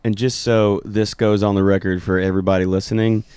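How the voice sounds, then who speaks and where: A man's voice: on the low side, flat delivery, fairly quick, darkish tone, clear, resonant tone, no echo. A man in his twenties talking on a podcast, emphatic and freely swearing as he praises a friend. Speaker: guest. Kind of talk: casual podcast chat.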